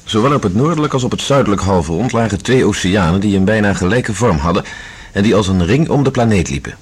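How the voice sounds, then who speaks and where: An earnest Mars explorer in a radio play. His voice is fairly low and husky, and he talks methodically, like a scientist giving a report. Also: lively intonation, quick pace. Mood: measured, reporting. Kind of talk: Dutch radio drama acting.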